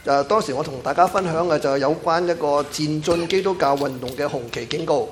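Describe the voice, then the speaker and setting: A man's voice: on the high side, brisk, slight background noise. A man with a Cantonese accent interpreting a sermon phrase by phrase in church. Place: church sanctuary.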